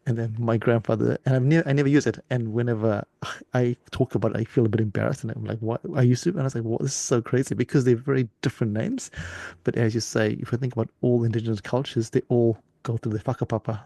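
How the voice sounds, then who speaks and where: Fast-talking gravelly man, dry close sound. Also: mid-range voice, flat delivery, dry recording. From Zimbabwe. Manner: warm, affirming, repeats words as he thinks. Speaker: podcast host. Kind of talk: conversational interview.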